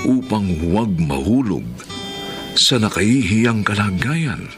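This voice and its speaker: A man narrates a drama in a solemn, moralizing style. His voice is low and gravelly, delivered slowly over music. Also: big pitch swings. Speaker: narrator and announcer. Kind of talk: scripted narration.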